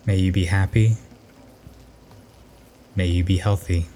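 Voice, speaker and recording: A man narrating a guided meditation in a gentle, unhurried instructional manner, letting his phrases fall softly at the end. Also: low voice, fairly even intonation, slight background noise.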